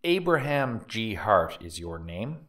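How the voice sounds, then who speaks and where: A man's voice: on the low side, big pitch swings, takes it slowly, fairly bright tone, distant mic, full character voice. A man playing a formal prosecutor putting probing questions to a witness in a dramatic trial reading.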